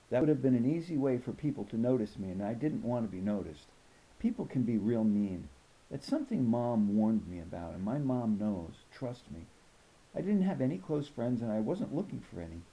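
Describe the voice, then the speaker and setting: Mellow, creaky-voiced man reading a story aloud. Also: on the low side, rough recording. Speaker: audiobook reader. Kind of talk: expressive read-aloud of a children's novel.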